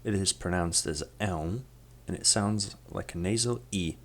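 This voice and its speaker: Deep, gravelly young man teaching. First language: Polish. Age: young adult. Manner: measured, explanatory, demonstrates sounds. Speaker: student presenter. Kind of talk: scripted language lesson.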